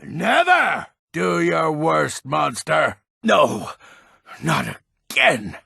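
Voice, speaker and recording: An actor playing a grumpy, defiant old man in a fantasy video game, with a raspy, gravelly voice and a gruff, theatrical, emphatic delivery. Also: on the high side, very animated, extremely slow, sharp tone, no echo, exaggerated voice. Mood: defiant, desperate. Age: older.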